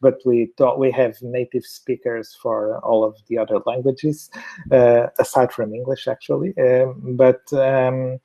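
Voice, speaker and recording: A man's voice: medium pitch, flat delivery, unhurried, vocal fry, dark tone, highs cut off. A man with a Portuguese accent giving a webinar presentation over a video call, hesitant, with many fillers and restarts. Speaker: fertility clinic doctor, webinar speaker.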